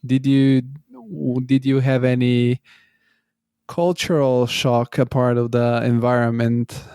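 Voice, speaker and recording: Brief: male podcast host, hesitant, dark and dry-sounding. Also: mid-range voice, fairly even intonation, slow, clean tone, no room sound. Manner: hesitant, many fillers and restarts. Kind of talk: interview questions.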